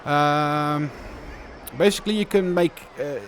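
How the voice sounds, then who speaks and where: A man's voice: fairly high pitch, slow, a bit bright, a little hiss or hum. A Dutch man with a Dutch accent, chatting casually through live gameplay commentary and answering viewer questions as he builds. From Netherlands. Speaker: streamer.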